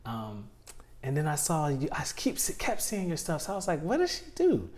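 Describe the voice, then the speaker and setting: A man's voice: on the high side, wildly swooping pitch, a little fast, a little mellow. A man hosting a casual interview show, easygoing and rambling with frequent laughs. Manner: easygoing, rambling, many fillers and restarts, laughs. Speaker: podcast host.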